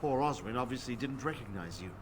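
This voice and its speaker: Man playing a lively, well-spoken fantasy nobleman. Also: mid-range voice, quick pace, noisy background, close to an everyday voice. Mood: measured, appraising. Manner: formal, articulate. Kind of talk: fantasy video game acting.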